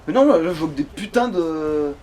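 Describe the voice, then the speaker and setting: A man's voice: fairly high pitch, animated, normal speed, a little mellow. A man chatting casually as he plays a game, grumbling and swearing. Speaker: let's play gamer. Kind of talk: casual gaming chat. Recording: clearly noisy home recording.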